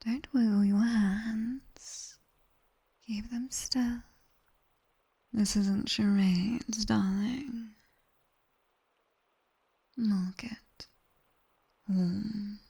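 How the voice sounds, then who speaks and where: A British woman voicing a sharp-tongued, teasing demon tutor in a whispered ASMR roleplay, guiding the listener slowly, close to the microphone. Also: medium pitch, steady tone, exaggerated voice. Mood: teasing, soothing. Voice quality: breathy, mostly whispered. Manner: slow, dry, teasing instruction. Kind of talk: ASMR roleplay, guided body scan. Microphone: close binaural microphone.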